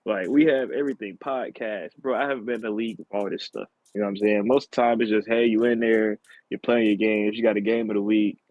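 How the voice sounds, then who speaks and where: A man's voice: fairly low pitch, fairly even intonation, fast talker, darkish tone, dry recording. A man chatting casually on a podcast panel, slangy and emphatic, often saying "bro", on a dull lo-fi mic. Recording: dull, muffled, dry lo-fi mic.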